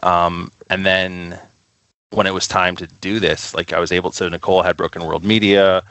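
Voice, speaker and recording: A man's voice: deep voice, little pitch movement, moderate pace, a bit bright, no echo, poor audio. A man speaking casually as a guest in an interview, talking about running a small record label.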